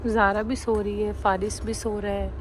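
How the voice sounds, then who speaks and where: A woman's voice: mid-range voice, level tone, normal speed. A young woman from Pakistan chatting to a phone camera held at arm's length while travelling with her family. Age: young adult. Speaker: family vlogger. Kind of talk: casual vlog narration. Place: train station and sleeper train carriage. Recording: noisy crowded station and train. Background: station crowd and train noise.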